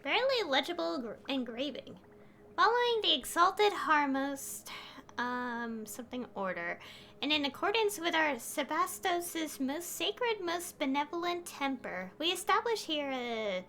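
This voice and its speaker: Bright, animated woman streaming a game. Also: high voice, measured pace, a little hiss or hum. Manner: rambling, self-interrupting. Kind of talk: casual gameplay commentary. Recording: game music behind the voice. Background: video game music.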